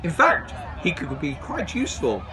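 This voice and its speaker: Middle-aged male storyteller, expressive and very varied in pitch, slightly high voice, children's storybook reading. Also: moderate pace, music in the background, a crowd in the background. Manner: animated, expressive. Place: outdoors on a garden bench.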